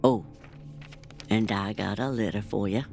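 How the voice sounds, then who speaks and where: Slow, creaky old Southern woman innkeeper. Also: low voice, steady tone, a little mellow, soft background music. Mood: welcoming, folksy. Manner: slow, folksy, hospitable. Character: wrinkled hunched old woman innkeeper, folksy and welcoming. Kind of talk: audio drama acting.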